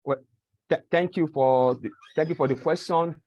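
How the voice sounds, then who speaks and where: A man's voice: fairly high pitch, moderate pace, no room sound. A male researcher answering questions on a video call, earnest and constantly saying "you know". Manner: earnest, leans heavily on the filler "you know". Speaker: award-winning researcher and webinar panelist. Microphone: video call microphone. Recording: slightly dull, lo-fi call audio.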